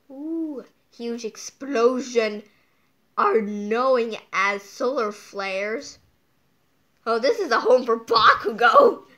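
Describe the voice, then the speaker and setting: A woman's voice: mid-range voice, pushed and bright. A woman hosting a casual storytime read-aloud, drifting off into playful, whimsical asides as she goes.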